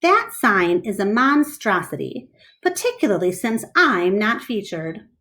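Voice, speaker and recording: A woman's voice: medium pitch, very animated, measured pace, fairly bright tone. A woman reading a storybook, voicing a small, grumpy, sardonic male dog with an indignant, demanding manner. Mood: indignant, sniffy.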